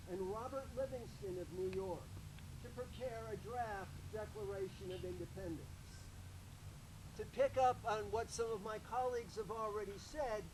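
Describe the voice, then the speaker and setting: A man speaking to a gathered audience at a public ceremony, giving a loud, folksy history talk and joking with the crowd. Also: very high voice, noisy background.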